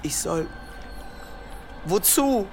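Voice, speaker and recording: An actor voicing a wounded, pious monk in a radio play, pleading and bewildered, halting with pain. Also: mid-range voice, lively intonation, faint music behind. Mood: pained, fearful. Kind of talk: dramatic radio play acting.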